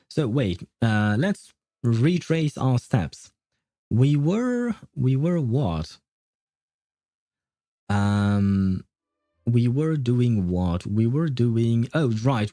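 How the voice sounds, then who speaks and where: A man's voice: mid-range voice, mellow, dry recording. A man hosting a livestream, chatting casually in a rambling way and often losing his train of thought. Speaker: livestream host. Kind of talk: casual game stream chat.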